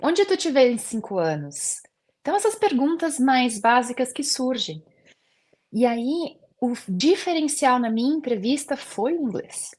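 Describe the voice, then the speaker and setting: A woman's voice: high voice, big pitch swings, a little slow, a little mellow. A woman, a guest dentist talking earnestly in an interview, often using fillers. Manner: earnest, many fillers like "assim". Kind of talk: casual interview chat.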